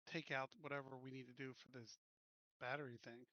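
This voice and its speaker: A man's voice: mid-range voice, flat delivery, fairly quick, pushed and bright, no room sound, muffled recording. A man playing a tabletop game, casually talking through tactics with the group.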